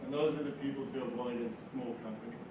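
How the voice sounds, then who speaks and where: A man's voice: mid-range voice, steady tone, quick pace, dull audio. A South African man giving an informal business speech with wry, self-deprecating humor, repeating phrases for emphasis. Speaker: business founder, guest speaker. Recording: very echoey room, distant mic, noisy.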